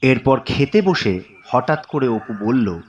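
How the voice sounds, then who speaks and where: A man's voice: medium pitch, very animated, slow, a little hiss or hum. A man narrating a scripted story dramatically as a storyteller. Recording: dull sound with music underneath.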